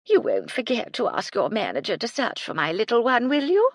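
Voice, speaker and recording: A woman's voice: fairly high pitch, huge pitch swings, a little fast, no echo, studio quality, voice pushed up, full character voice. A woman voicing an eccentric elderly dowager who fusses over imaginary pet birds, in a haughty, fluttery and plaintive way. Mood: cooing.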